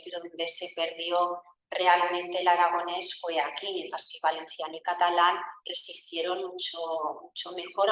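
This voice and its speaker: A woman professor speaks earnestly in a low, even voice with little melody. She sometimes corrects herself mid-sentence. She sounds distant, in a strongly reverberant hall. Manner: earnest, stresses responsibility, some self-corrections. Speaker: university professor. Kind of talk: lecture and panel talk. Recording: very echoey hall, mic far off.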